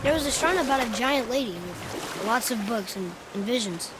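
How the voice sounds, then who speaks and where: An actor plays a young boy on a fantasy game adventure. The voice is high, earnest and inquisitive, with loud noise of water behind it. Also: expressive, moderate pace, lightly acted. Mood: curious. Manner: questioning, earnest.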